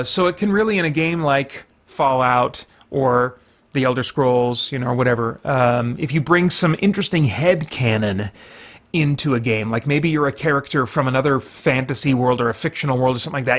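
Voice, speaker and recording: A man's voice: medium pitch, a bit bright. A man giving casual gameplay commentary as a host, explaining his reasoning and thinking aloud as he goes. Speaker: host of a gaming video series.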